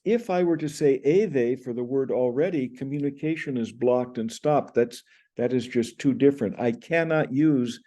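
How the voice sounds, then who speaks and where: A man's voice: medium pitch, dark tone. An older man teaching Greek pronunciation in an explanatory lecture, speaking to a webcam indoors. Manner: explanatory, gestures with hands, recites Greek sounds.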